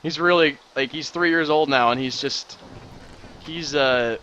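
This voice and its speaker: A man in his thirties, excitable and rambling, chatting casually while playing a building game. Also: fairly high pitch, measured pace, muffled recording, slight background noise. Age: 30s. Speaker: Minecraft builder and streamer. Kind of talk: casual commentary while gaming.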